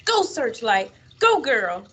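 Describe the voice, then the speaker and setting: A woman's voice: extremely high pitch, slow, a bit bright, pitched above the performer's own voice, exaggerated voice. A woman reading a storybook aloud, voicing an earnest, tender-hearted young boy with a pleading, exclaiming delivery. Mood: urgent cheering. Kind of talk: storybook read-aloud character voice.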